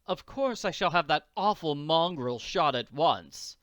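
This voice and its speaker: A man's voice: a little high, lively intonation, a little slow, a bit bright, dry recording, clean studio sound. A man voicing a haughty, cold-hearted wealthy woman with a genteel, imperious manner in a comic-strip reading. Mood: indignant, gushing.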